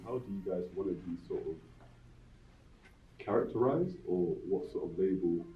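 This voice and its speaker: A man hosting an interview and asking calm, probing questions. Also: low-pitched, normal speed, slight background noise. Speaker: host. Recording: roomy, distant, dull mic.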